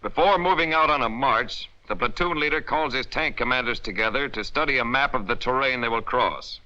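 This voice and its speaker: Sharp, forceful 1940s American training film narrator, male. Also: mid-range voice, a little fast. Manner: emphatic, instructional, clipped directives. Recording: dull, band-limited 1940s film soundtrack.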